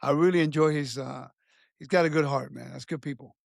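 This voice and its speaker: A man's voice: on the high side, steady tone, brisk, creaky, no echo, clean studio sound. A man in his fifties from Puerto Rico, a podcast guest earnestly telling his life story with many restarts and fillers.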